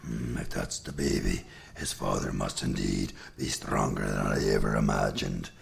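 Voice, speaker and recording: A man's voice: a bass voice, fairly even intonation, moderate pace, vocal fry, voice pushed down, heavily acted. A man voicing a boastful, greedy male giant, blustering and growling in a told folktale. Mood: uneasy. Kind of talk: storytelling performance.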